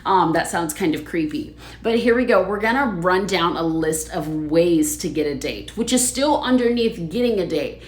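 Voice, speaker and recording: A woman's voice: lowish voice, animated, moderate pace, hardly any pauses. Chatty woman livestreaming in an echoey room. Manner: chatty, laughs often, reads viewer comments aloud. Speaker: livestream host. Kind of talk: casual livestream chat with viewers.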